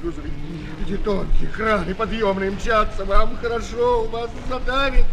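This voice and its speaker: An actor playing a whining, wheedling street swindler in a 1970s Soviet children's radio play, theatrical and slangy, swinging between bluster and wailing. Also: male voice, high-pitched, normal speed. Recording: faint noise, old flexi-disc record.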